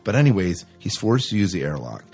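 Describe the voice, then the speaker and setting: Low-voiced man, rambling podcast host. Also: big pitch swings, a little fast, gravelly, a little mellow, breathy edge, soft background music. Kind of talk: casual podcast chat.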